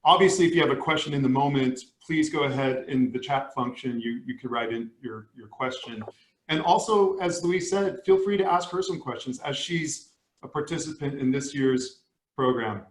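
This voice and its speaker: A man's voice: mid-range voice, normal speed. Upbeat man in an echoey room. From San Diego, USA. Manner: friendly, upbeat, with restarts. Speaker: coach and managing partner. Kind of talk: webinar hosting. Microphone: video call.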